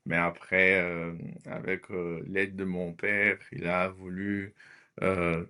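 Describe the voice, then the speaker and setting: A man from Congo with a Congolese French accent, his first language Lingala, tells his story as a podcast guest. His voice sits a bit low, and he speaks haltingly, with frequent fillers and English words dropped in. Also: a bit bright. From Democratic Republic of the Congo. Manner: hesitant, many fillers, drops in English words. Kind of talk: casual interview talk.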